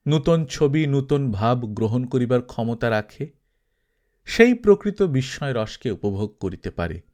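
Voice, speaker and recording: A man reading a classic literary story aloud as an audiobook narrator, measured and expressive in formal prose. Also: mid-range voice.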